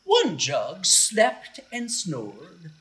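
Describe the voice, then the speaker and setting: Wildly expressive woman storyteller, unhurried. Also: fairly low pitch, very noisy. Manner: theatrical, expressive, drawn-out phrasing with gasps and chuckles. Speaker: children's storyteller. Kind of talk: animated storybook narration for young children.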